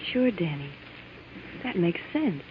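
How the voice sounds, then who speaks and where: Worried devoted girlfriend in a 50s radio crime play, soft questioning voice, very muffled sound. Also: lowish voice, big pitch swings, slow pace. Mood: agreeing. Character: devoted, anxious young bride-to-be, trusting sweetheart. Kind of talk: 1950s radio crime drama acting. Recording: muffled, noisy vintage radio recording.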